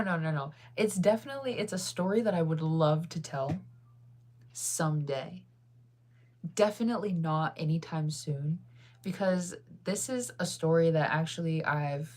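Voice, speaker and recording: A woman's voice: lowish voice, measured pace, darkish tone, a little hiss or hum. A woman chatting casually with her viewers, rambling through stories with lots of fillers and frequent laughter. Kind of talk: casual chat.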